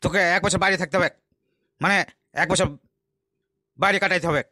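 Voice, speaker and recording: A man's voice: sits high, brisk, pushed and bright. A man voicing a sly, self-assured fake holy man in a comic cartoon, with a condescending manner. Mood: sly, commanding. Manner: commanding, condescending guru talk.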